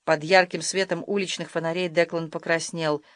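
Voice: medium pitch, flat delivery, moderate pace, a bit bright, no room sound, studio quality. An audiobook narrator reading first-person literary prose from a script.

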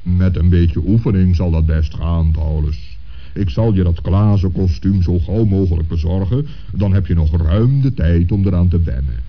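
Kindly old bishop saint, really low voice, stately n patient, kids radio play, muffled old tape. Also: male voice. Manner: measured, reassuring, stately. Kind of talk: children's radio play acting.

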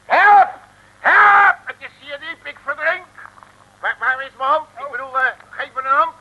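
An actor playing a brash, excitable, slangy detective with a blustery, stammering manner in a 1950s comic radio serial. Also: male voice, very high voice, measured pace, dull audio, heavily acted. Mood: panicking. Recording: old noisy radio recording.

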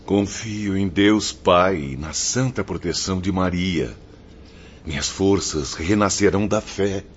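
Weary pious brazilian soul, super low voice, humble, dull sound with slight noise. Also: male voice, a little slow. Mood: devout, hopeful. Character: meek, devout, weary male spirit patient. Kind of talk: scripted radio drama acting. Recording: muffled audio with faint noise.

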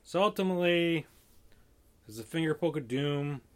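A man's voice: fairly high pitch, lively intonation, moderate pace. A man co-hosting a casual chat, rambling and thinking aloud as he talks.

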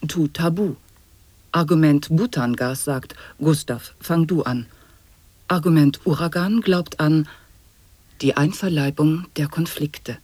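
A husky male reciter of dense absurd wordplay in an experimental radio monologue. He takes it very slowly and deliberately, in a dry studio recording. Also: fairly high pitch, darkish tone, dry recording. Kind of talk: avant-garde radio play monologue of sound poetry.

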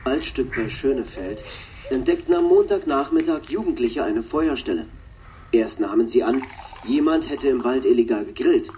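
An actor playing a slimy tabloid TV reporter, delivering a florid, pompous news report in a radio drama. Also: male voice, mid-range voice, lively intonation, normal speed, warm tone, a little hiss or hum, lightly acted.

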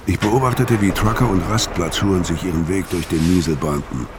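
An actor playing a weary, hard-drinking middle-aged killer on the run in a noir radio drama, bleak and terse, with a low gravelly voice. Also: male voice, brisk, noisy background, close to an everyday voice. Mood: bleak, brooding. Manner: terse, brooding. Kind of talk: noir first-person radio drama narration and dialogue.

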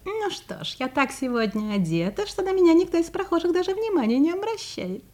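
High, mellow, sly she-fox. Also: big pitch swings, fairly quick, soft background music. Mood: smug, scheming. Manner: scheming, self-satisfied musing to herself. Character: sly, self-assured female fox disguised in old women's clothes. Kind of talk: Soviet audio fairy-tale acting.

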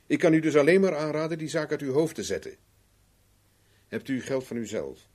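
An actor with a Netherlands Dutch accent playing a shrewd, self-assured private investigator in a 1970s radio play, measured, persuasive and knowing. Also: male voice, a little high, lively intonation, darkish tone. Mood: firm, advising.

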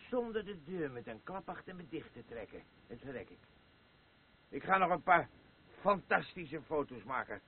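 An actor playing a boastful, crude and rambling drunken old war veteran in a radio play, with a husky voice. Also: male voice, fairly high pitch, exaggerated voice. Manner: slurring, rambling, halting, blustering. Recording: muffled lo-fi radio play recording.

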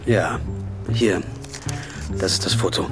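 A man's voice: low voice, takes it slowly, over music. An actor playing a haggard, obsessive man searching for the truth, speaking tersely and narrating in a brooding voice-over. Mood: determined. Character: haggard male detective, hungover and obsessive. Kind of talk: film-style drama with voice-over narration.

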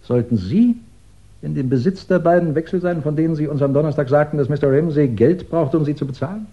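A man acting a shrewd English police chief in a 1960s German radio crime drama, deliberate and ironically polite, with musing pauses. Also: fairly high pitch, dark tone, highs cut off, close to an everyday voice. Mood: musing, triumphant.

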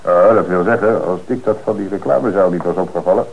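A calm, commanding police commissioner. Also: male voice, sits low, fast talker, creaky, a little hiss or hum. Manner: calm, authoritative, explanatory. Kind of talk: radio drama acting. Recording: dull old radio play recording.

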